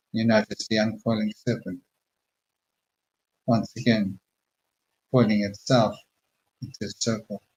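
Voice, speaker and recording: Low, flat-voiced man guiding meditation. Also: muffled recording. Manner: slow, soothing, murmured instructions. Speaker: meditation guide. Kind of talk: guided meditation.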